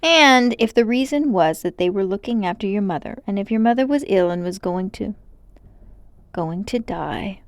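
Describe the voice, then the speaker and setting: A woman voicing an excitable, brooding and adventurous schoolboy in a storybook, speaking emphatically. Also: medium pitch, normal speed, no room sound, natural voice. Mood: indignant, upset. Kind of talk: storybook character voice.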